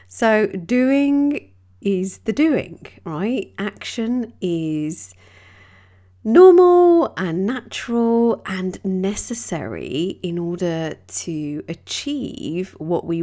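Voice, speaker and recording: A woman hosting a wellbeing podcast, speaking gently with a slightly croaky voice. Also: medium pitch, very animated. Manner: soft, gentle, unhurried delivery with drawn-out phrases. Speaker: yoga and wellbeing podcast host. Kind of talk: reflective wellbeing talk.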